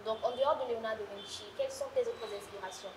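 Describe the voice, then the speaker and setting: A woman's voice: a little high, steady tone, quick pace, darkish tone. A woman interviewing informally and conversationally, often interjecting and rephrasing. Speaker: talk show interviewer. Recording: clearly noisy ambient background.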